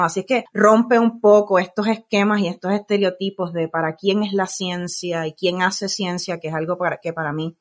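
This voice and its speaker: A Puerto Rican woman with a Puerto Rican Spanish accent, a science communicator speaking as an interview guest, thoughtful and often pausing with fillers and restarts. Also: on the low side. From Puerto Rico. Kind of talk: casual interview conversation.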